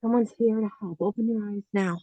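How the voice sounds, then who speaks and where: A woman's voice: medium pitch, steady tone, a little fast, mellow, no echo, close to an everyday voice. A woman playing a loyal, feisty passenger on a hijacked jet, speaking emotionally in a script read. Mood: desperate. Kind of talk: screenplay table read acting.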